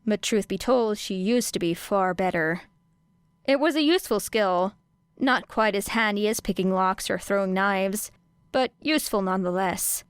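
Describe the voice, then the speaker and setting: A woman's voice: medium pitch, moderate pace, clean tone, no room sound. A woman reading a scripted fiction audiobook as narrator, close on the microphone. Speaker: audiobook reader.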